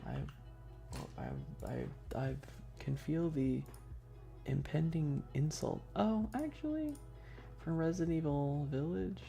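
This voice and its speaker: A man's voice: fairly high pitch, very animated, slow, vocal fry, mellow, faint music behind. A man chatting casually as a livestream host, bantering with viewers in a slangy, joking way. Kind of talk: casual livestream chat, ASMR streamer.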